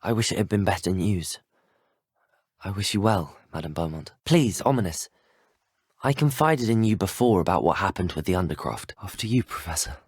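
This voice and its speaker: A man's voice: sits low, expressive, normal speed, slightly rough, no echo, clean studio sound. A young man with a British accent voicing a courteous, earnest teenage wizard in a video game, well-spoken and formal. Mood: sombre, regretful, then courteous, sincere. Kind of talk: scripted video game dialogue.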